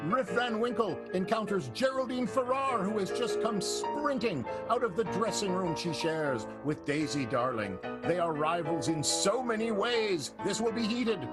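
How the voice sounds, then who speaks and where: A man's voice: sits high, lively intonation, normal speed, few pauses. A man narrating an improvised radio soap, setting scenes with dramatic, comedic flair over music. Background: prominent plucked guitar music.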